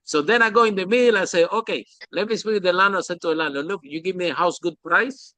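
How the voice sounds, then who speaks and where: A man in his forties hosts and tells his own story in animated, broken English that is not his first language. His voice is bright and forceful, sitting a little high for a man. The sound is dry and close. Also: fast talker, no echo. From lived in London. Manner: animated, non-native grammar, frequent "okay?". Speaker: podcast host. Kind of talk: casual interview chat.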